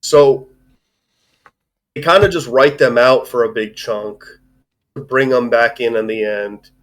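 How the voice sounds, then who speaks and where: Man, mid-pitched voice, casual live-stream commentary, offhand delivery. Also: measured pace. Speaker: streamer reviewing a film.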